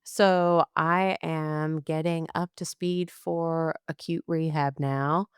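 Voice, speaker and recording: A woman's voice: deep voice, fairly even intonation, slow pace, smooth, no room sound, clean studio sound. A young adult woman co-hosting a casual podcast, playful and sarcastic, laughing often. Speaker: podcast co-host, speech-language pathologist. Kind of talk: casual chat.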